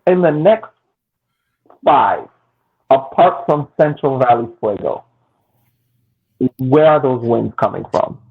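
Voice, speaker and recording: A man's voice: medium pitch, animated, takes it slowly, creaky, pauses often, slightly rough. A man argues his case emphatically on a sports podcast. His voice comes through a thin, phone-like line. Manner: emphatic, argumentative. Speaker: podcast co-host. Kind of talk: casual chat.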